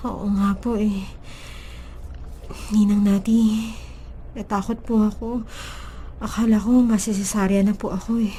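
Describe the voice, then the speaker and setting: A woman's voice: medium pitch, flat delivery, unhurried, pauses often, mellow, slight background noise, close to an everyday voice. A woman playing a meek, grateful young new mother with a Filipino accent in a radio drama. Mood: meek, apologetic.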